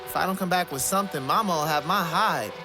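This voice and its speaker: A man playing a naive, anxious poor farm boy, hesitant and earnest, in an animated musical play. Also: a little high, fast, natural voice. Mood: wary, curious. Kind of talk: animated musical theater acting. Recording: hip-hop music behind.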